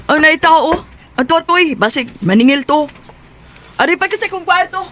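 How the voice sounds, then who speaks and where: A woman's voice: very high-pitched, animated, background noise. An actress playing a bossy young woman who pushes her twin sister for money. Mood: pushy. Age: young adult. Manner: pushy, quick bargaining.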